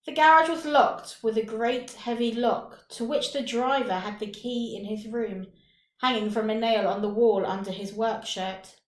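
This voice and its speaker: A woman reading a short story aloud with deliberate, dramatic phrasing and pauses. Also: on the high side. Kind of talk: expressive scripted story narration. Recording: roomy, echoing room.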